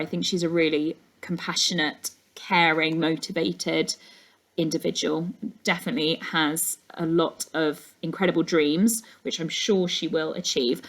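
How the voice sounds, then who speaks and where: A woman teacher reading aloud and chatting to her class in an everyday mid-pitched voice. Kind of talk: animated storybook read-aloud with plain chat after.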